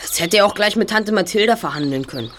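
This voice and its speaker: A woman's voice: medium pitch, lively intonation, quick pace, slight background noise, lightly acted. A woman playing a confident boy detective in a German audio drama, articulate and formal in delivery. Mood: deducing. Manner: articulate, formal, self-assured. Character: confident, well-spoken boy detective, leader of a trio.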